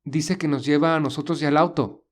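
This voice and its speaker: A man acts out a polite, resourceful young man from an audio drama. His voice sits a little high for a man. He speaks in an earnest, reassuring way. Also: steady tone, a little fast, close to an everyday voice. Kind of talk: audio story character dialogue.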